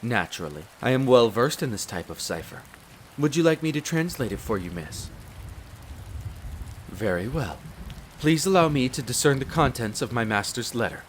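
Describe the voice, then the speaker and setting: A man voicing a courteous, devoted butler in an audio roleplay, speaking in a formal, polite and measured way straight to the listener. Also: medium pitch, natural voice. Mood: composed, explanatory. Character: courteous, devoted demi-human butler in a vampire's castle. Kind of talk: scripted roleplay addressed to the listener. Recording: rain noise behind the voice. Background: steady rain of a storm.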